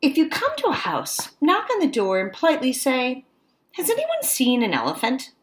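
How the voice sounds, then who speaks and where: A woman teacher reading a picture book aloud to children, expressive and coaxing, pausing to put questions to her young listeners. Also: high voice, slight background noise.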